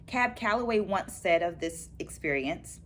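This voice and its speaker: A middle-aged woman presenting to viewers as a dance educator, in a measured, careful, teacherly way. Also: lowish voice, lively intonation. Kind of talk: book-review vlog, presenting takeaways to viewers. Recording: clear background noise, room ambience.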